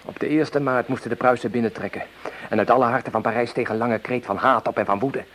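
An actor playing a young, hot-headed French revolutionary in a radio play, with an impassioned, scornful way of speaking. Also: male voice, medium pitch, fairly even intonation, quick pace, a bit bright, lightly acted. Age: young adult. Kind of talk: Dutch radio play acting. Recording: noisy old radio play recording.

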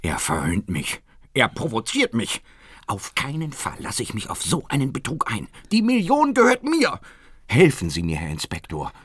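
An actor playing an excitable, indignant father with a husky voice, swinging between outbursts and mutters. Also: medium pitch, moderate pace, full character voice. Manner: agitated, swinging from shouts to mutters. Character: excitable middle-aged father, indignant and frantic.

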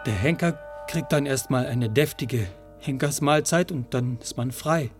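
An actor in a radio play voicing an eager working-class man who justifies himself in halting, rambling colloquial speech. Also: medium pitch, over music, lightly acted. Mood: uneasy. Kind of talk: radio play monologue and dialogue.

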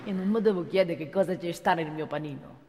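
An actor playing a gruff, earthy night guard with a Roman accent in a rambling comic stage monologue. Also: male voice, on the high side, brisk, a little mellow, lightly acted. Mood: proudly wistful. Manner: colloquial, rambling, rhetorical questions. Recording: echoey hall, noisy stage recording.

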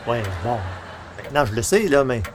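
A man's voice: mid-range voice, normal speed, a little hiss or hum, lightly acted. A man playing a polite, mildly sceptical newspaper columnist, a hesitant straight man with a Quebec accent in a radio comedy. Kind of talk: 1940s radio comedy acting.

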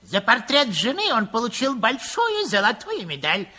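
Звонкого, задиристого сказочного героя. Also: female voice, on the high side, huge pitch swings, moderate pace, pushed and bright, heavily acted. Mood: gleeful taunting. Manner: teasing, emphatic. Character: cheeky, gleeful, taunting fairytale hero. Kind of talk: 1960s Soviet radio play acting.

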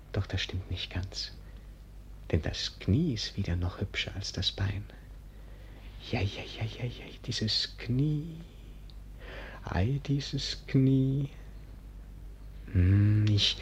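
An actor playing a smitten, rapturous young page in a 1940s German radio play, gushing and lingering on his words. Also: on the low side. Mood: hushed awe, then enraptured. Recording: noisy 1940s radio recording.

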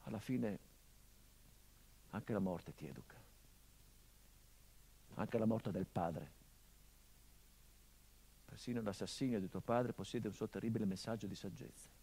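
A middle-aged actor playing a grieving son whose father was murdered, speaking a theatrical monologue in a measured, grave, introspective way. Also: on the low side, vocal fry, warm tone, breathy edge, exaggerated voice, low-quality recording.

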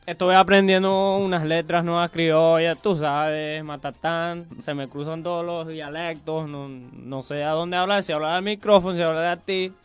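Puerto Rican man, young, playful radio co-host, smooth tone. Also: on the high side, steady tone, normal speed, few pauses, soft background music. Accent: Puerto Rican Spanish. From Puerto Rico. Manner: playful, teasing, rambling. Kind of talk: joking radio banter and music introduction.

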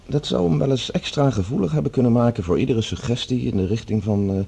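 A man playing a calm, sceptical, even-handed colleague in a radio play, reasoning diplomatically in conversation. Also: medium pitch, quick pace, creaky, husky. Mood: calmly persuasive.

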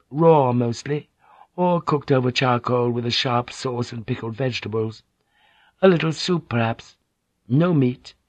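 A man voicing a composed, courteous Japanese noblewoman who translates for others, soft-spoken and polite. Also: mid-range voice, no echo, lightly acted. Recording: dull, dry lo-fi recording.

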